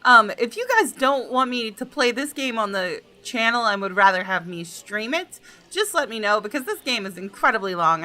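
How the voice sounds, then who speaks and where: A woman's voice: medium pitch, sharp tone. A woman hosting a gaming video, switching between casual commentary and reading story text aloud.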